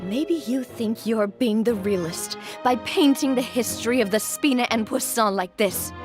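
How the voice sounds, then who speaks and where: An actress playing an earnest, resolute young boss in a video game, speaking in a measured way. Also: female voice, a little high, over music, natural voice. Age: young adult.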